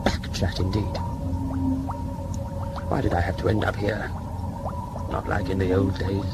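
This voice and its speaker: A man's voice: low-pitched, flat delivery, unhurried, vocal fry, very noisy. A man playing a grumbling, pompous old colonial governor in an audio drama, British-accented and querulous, muttering to himself. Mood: muttering, nostalgic.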